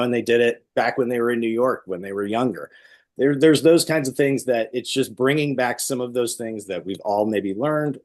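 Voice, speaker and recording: A man who teaches theater acting, explaining in a conversational, animated and emphatic way during an interview. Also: on the low side.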